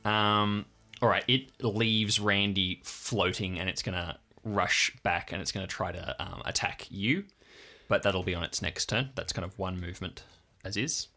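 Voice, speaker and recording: A man's voice: deep voice, a little slow, sharp tone. A man acting as game master, narrating an improvised tabletop adventure with vivid description. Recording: music behind the voice.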